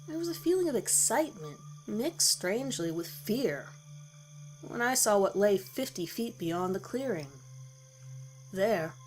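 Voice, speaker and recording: A woman's voice: medium pitch, slight background noise. A woman reading a scripted first-person horror story as its narrator. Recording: music bed under the voice. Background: background music.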